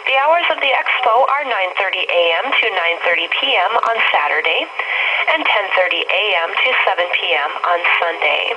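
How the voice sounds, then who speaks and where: A woman's voice: medium pitch, animated, moderate pace, bright, forceful tone. A synthetic-sounding woman's voice reads a scripted public announcement formally and with careful enunciation. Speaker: weather radio announcer. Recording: muffled weather radio broadcast, faint static.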